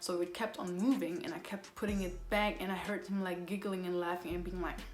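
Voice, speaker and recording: A woman's voice: lowish voice, steady tone, fairly quick. A young woman whose first language is Somali tells a rambling, exasperated story in a casual storytime style and keeps correcting herself. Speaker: vlogger. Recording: roomy echo with faint background music.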